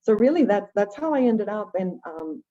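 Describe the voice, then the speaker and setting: A woman's voice: medium pitch, very animated, normal speed, dark tone. A woman from Venezuela, a native Spanish speaker, tells her own story conversationally and reflectively, often checking in with rhetorical questions. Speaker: guest, education nonprofit director. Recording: dull, lo-fi call audio.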